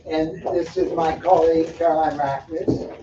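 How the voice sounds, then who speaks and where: A man's voice: fairly high pitch, flat delivery, moderate pace, noisy background. An older man, the guest speaker at a meeting, talking in a joking, self-assured way. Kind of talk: casual meeting talk. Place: meeting room. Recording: distant, echoey, lo-fi.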